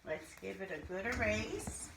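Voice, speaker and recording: A woman teacher leading an interactive alphabet lesson for young children, speaking deliberately in a sing-song way and addressing pupils directly with pauses. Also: medium pitch, lively intonation, creaky. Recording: echoey room with light background noise.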